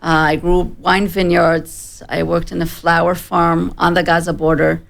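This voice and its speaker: A woman in her forties from Israel with a slight American accent, giving a personal account as a studio interview guest in plain, measured sentences. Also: fairly low pitch, level tone, vocal fry. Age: 40s. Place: broadcast studio.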